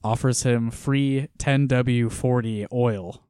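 A man co-hosting a casual podcast, walking through a comic with frequent laughter and quiet trailing-off lines. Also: mid-range voice, fairly even intonation, slow, clear, resonant tone, no room sound, studio quality. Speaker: podcast co-host. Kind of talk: casual chat reviewing a comic.

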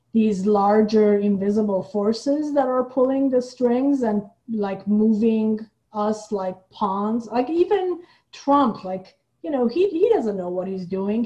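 A woman's voice: medium pitch, mellow, muffled recording. A woman who grew up partly in the Boston area, talking casually about politics in a reflective, informal way, as if thinking aloud. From Iran, partly raised in the Boston area. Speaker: podcast guest, playwright and artistic director.

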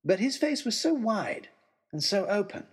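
A man narrating an old-fashioned first-person adventure story in a theatrical way, with deliberate dramatic pauses. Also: sits high, sing-song, husky. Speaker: narrator of a serial audio story.

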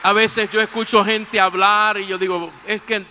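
A Puerto Rican man in his sixties preaching from the pulpit in a high, bright, ringing voice. He swings from shouted fervor down to near-murmurs and keeps dropping a praise phrase between lines. His voice hangs in the long reverb of a large church hall. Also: level tone, normal speed, slight background noise. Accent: Puerto Rican Spanish. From Puerto Rico. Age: 60s. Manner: preaching with sudden shouted peaks and drops to a soft, low register; repeats a stock praise phrase between sentences. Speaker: pastor. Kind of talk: sermon.

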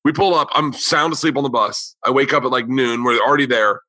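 A man's voice: mid-range voice, fairly quick, bright, forceful tone, no room sound. A man hosting a podcast, telling stories in a loose, profane way and acting out what people said. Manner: loose, profane storytelling with quoted voices. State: losing his voice. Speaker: podcast host, comedian. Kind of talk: casual chat.

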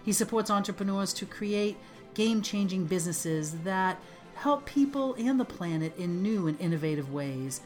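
A woman hosts a podcast in an upbeat, enthusiastic way, her voice at an ordinary middle pitch. Faint music plays softly behind her. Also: lively intonation, normal speed. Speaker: podcast host. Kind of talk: podcast interview hosting.

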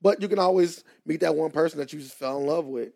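A man in his twenties talks through a casual podcast chat with a fairly high, gravelly voice. He is animated and laughs easily, stretching out words to hammer a point home. The sound is dry and close. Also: no echo. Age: 20s. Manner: animated, laughs often, drawn-out emphatic points. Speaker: podcast co-host.

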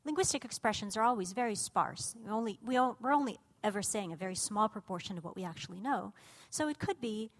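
A woman of middle pitch whose first language is Russian, lecturing to a live audience. She talks in a lively, engaged way, sprinkling her explanations with fillers. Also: fast talker, hardly any pauses. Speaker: cognitive scientist giving a lecture. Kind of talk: public lecture.

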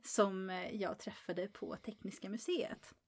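A woman's voice: mid-range voice, fairly even intonation, a little slow. A Swedish woman hosting a podcast, chatting and interviewing casually with frequent laughter. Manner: giggly, laughs often. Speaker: podcast host.

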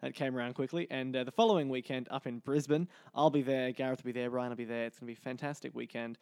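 A man's voice: medium pitch, fairly even intonation, quick pace, darkish tone, clear, resonant tone, dry recording. A man hosting a podcast in an upbeat, promotional way. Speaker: podcast host. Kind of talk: podcast hosting, interview and sign-off.

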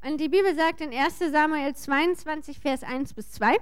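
A woman working as a sermon interpreter, speaking in short, informal and somewhat halting phrases. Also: high-pitched.

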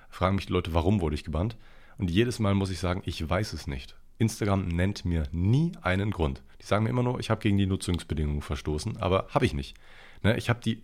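A man's voice: low-pitched, creaky. A young man from the Ruhr area hosting his own podcast, chatting in a rambling, blunt, slangy way, a little nasal and congested from a cold. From Ruhr area, Germany. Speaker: podcast host. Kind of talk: casual solo podcast chat.

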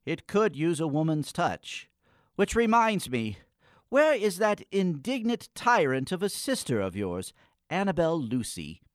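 An actor playing a morose, dryly polite young male poet in a comic gothic radio play, with a theatrical, wordy, deadpan manner. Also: fairly high pitch, measured pace, dry recording, clean studio sound.

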